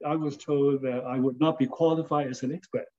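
An older man from Hong Kong, an architect recalling his career in a halting, casual way, often checking in with "okay?". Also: mid-range voice, steady tone, warm tone, dull audio. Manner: halting, many fillers and restarts, tags with "okay?". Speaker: interviewee, architect and former design lecturer. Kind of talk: casual spoken reminiscence.

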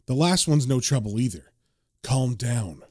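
A man's voice: mid-range voice, lively intonation, normal speed, a little mellow, dry recording, studio quality, pitched below the performer's own voice, natural voice. A man voicing a world-weary, cynical British man narrating his own story in terse, gloomy mutters. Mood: grim.

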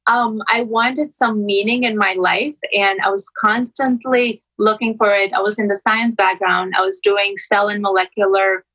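A young adult woman from Albania speaks as a guest on a podcast. Her voice is bright and sits at an average pitch. She talks informally, telling things in a loose conversational style. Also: steady tone, normal speed, hardly any pauses, husky, poor audio. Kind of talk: casual conversational storytelling.

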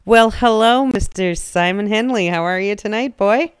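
Chatty woman radio host, crisp voice. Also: mid-range voice, moderate pace. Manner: chatty, animated, laughs often. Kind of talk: casual radio chat.

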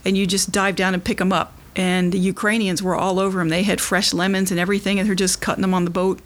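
A woman's voice: medium pitch, little pitch movement, fast talker. A middle-aged woman from Kentucky, a spearfisher, chatting casually in an interview and telling stories with lots of restarts. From Kentucky, USA. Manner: chatty, warm storytelling with frequent restarts.

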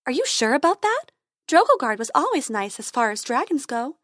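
A female storybook fairy who works as a dentist, played by a woman, kind and professional. Her voice is high and light, in a dry, close recording. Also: big pitch swings, brisk, a bit bright, dry recording. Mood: concerned. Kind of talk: storybook character voice.

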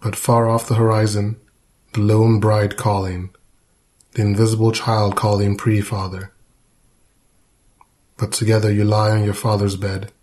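A man's voice: on the low side, level tone, a little slow, pauses often, darkish tone. A man reading a narrative poem aloud. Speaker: poetry reader. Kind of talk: poetry reading.

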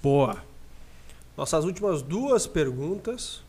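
A Brazilian man with a fairly high voice hosts a live podcast. He chats casually, talking straight to his listeners as he takes their questions. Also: big pitch swings, slow, a little mellow, husky. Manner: informal, addresses listeners directly. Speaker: podcast host reading audience questions.

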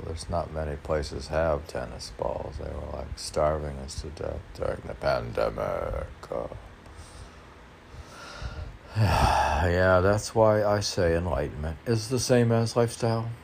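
Male, very low voice with heavy creak, rambling poet-podcaster mixing reading and asides, faint background noise. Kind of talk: reading poems with rambling off-the-cuff commentary.

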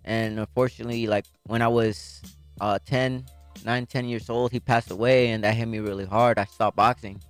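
A young man from Puerto Rico talks about his life as a boxer, earnest and soft-spoken. His voice sits at a middle pitch and drops quietly at times. Faint music plays behind him. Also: steady tone, normal speed. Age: young adult. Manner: soft-spoken, earnest, many fillers, voice drops when emotional. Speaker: professional boxer guest. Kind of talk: interview storytelling.

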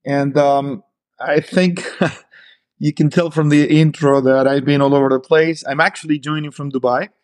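A man's voice: on the high side, steady tone, normal speed, darkish tone. A middle-aged man from Argentina, answering as a podcast guest in a hesitant way, full of fillers and restarts. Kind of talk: casual interview talk.

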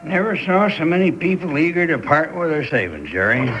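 An actor playing a wary, dejected Old West sheriff in a radio drama, plain-spoken with a folksy American accent. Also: male voice, a little high, expressive, a little fast, lo-fi sound, noisy background, lightly acted. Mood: glum. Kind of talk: 1970s Western radio drama acting.

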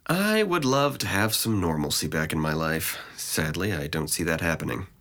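A man's voice: sits low, animated, moderate pace, fairly bright tone. A man playing a depressed, sardonic twenty-one-year-old in an audio drama, with a dry, articulate delivery. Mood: resigned. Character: sardonic, depressed young man, resentful and withdrawn.

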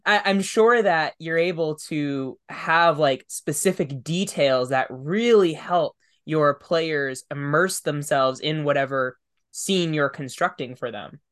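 A man's voice: fairly high pitch, slow, a bit bright, clean tone. A man hosting a podcast in casual interview chat, speaking in a conversational, affirming way. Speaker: podcast host.

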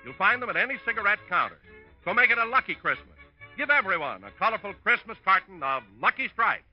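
A male radio announcer in the 1950s commercial style. His voice sits high for a man, and the vintage recording is dull with faint music. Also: very animated, fast talker.

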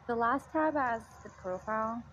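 A woman's voice: a little high, expressive, normal speed, a bit bright. A woman of twenty-six from Mexico, talking casually and chattily with lots of "like", as in an audio diary. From Mexico, moved to Texas at fourteen. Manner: chatty, frequent "like" as a filler. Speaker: guest product tester. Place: apartment. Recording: dull, noisy home self-recording.

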